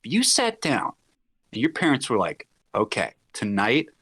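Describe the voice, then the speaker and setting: A man's voice: medium pitch, wildly swooping pitch, unhurried, fairly bright tone, breathy edge. An effusive man chatting casually as a podcast guest, often acting out imagined dialogue. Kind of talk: casual chat.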